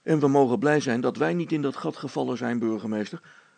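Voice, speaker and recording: A man's voice: mid-range voice, fairly quick, gravelly, darkish tone, dry recording. A man voicing a practical, cautious middle-aged father and handyman in a storybook, talking in a hearty, colloquial, plain-spoken way. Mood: concerned, firm.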